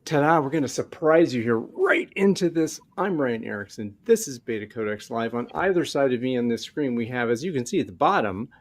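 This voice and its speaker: A man's voice: medium pitch, expressive, fairly quick, hardly any pauses. A man hosting a live online show in a casual, conversational style of introduction.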